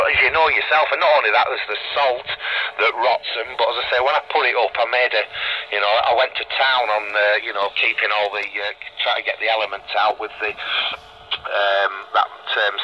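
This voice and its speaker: A man's voice: fairly low pitch, dull audio. A middle-aged man with a Northern English accent chats in a rambling, matey way as an amateur operator over VHF radio. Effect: VHF FM radio transmission. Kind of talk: casual chat over ham radio. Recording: band-limited two-way radio, faint background noise.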